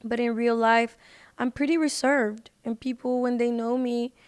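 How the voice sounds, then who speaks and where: A woman with a smooth, fairly high voice talks casually as a podcast guest. Her pitch stays in a narrow, even band. She phrases things informally, leaning often on "like" and "you know". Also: normal speed. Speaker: podcast guest, singer and social media personality. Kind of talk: casual chat.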